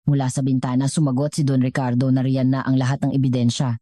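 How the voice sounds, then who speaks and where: A woman's voice: extremely low pitch, flat delivery, quick pace, dark tone, no room sound, studio quality. A woman with a Filipino accent reading a scripted dramatic story as its narrator.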